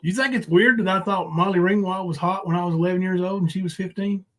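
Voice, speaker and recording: A man with a fairly high-pitched voice hosts a livestream panel. He talks casually, in a folksy, rambling manner. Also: fast, fairly bright tone. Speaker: live panel stream host.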